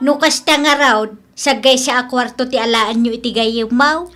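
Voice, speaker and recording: A woman's voice: a little high, moderate pace, very noisy, close to an everyday voice. A woman playing a bossy, grumbling, money-minded older landlady in a radio comedy drama, scolding and nagging emphatically. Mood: businesslike, bossy.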